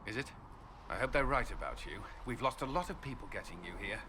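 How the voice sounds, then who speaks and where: A man's voice: mid-range voice, animated, a little fast, natural voice. Tired dutiful soldier boss guy, voiced by an actor, game scene, sober, noisy audio. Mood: weary. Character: weary, dutiful male military commander. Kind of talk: dramatic video game acting.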